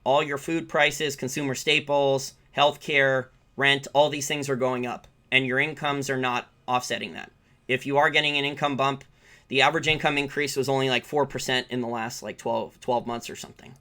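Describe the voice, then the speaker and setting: Bright, forceful American man talking markets. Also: medium pitch, little pitch movement, normal speed. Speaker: finance channel host answering live viewer questions. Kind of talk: off-the-cuff market commentary.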